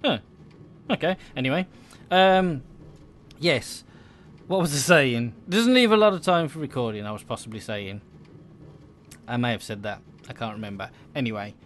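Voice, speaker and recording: A man's voice: mid-range voice, lively intonation, moderate pace, slight background noise. A young adult man giving casual, meandering gameplay commentary, often hesitating and interrupting himself. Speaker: gaming video host.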